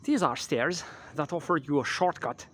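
A man in his thirties guiding a casual walking tour on a quiet residential street, talking with many fillers and restarts into a handheld camera mic. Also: fairly high pitch, normal speed. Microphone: handheld camera mic while walking. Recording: clear outdoor street noise.

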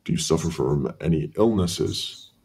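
A man playing a cold, sinister company interviewer in an analog horror film, speaking formally and in a measured way. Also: extremely low pitch, big pitch swings, gravelly, darkish tone, slightly rough, exaggerated voice. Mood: clinical. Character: cold, sinister male corporate interviewer, calmly menacing.

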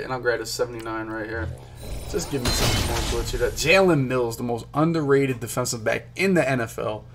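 A man's voice: medium pitch, a bit bright, slight background noise. Chatty young man hyping pack pulls. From Philadelphia. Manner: chatty, hyped, talks to chat while clicking. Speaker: gaming streamer. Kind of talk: casual pack-opening commentary. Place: small room at home.

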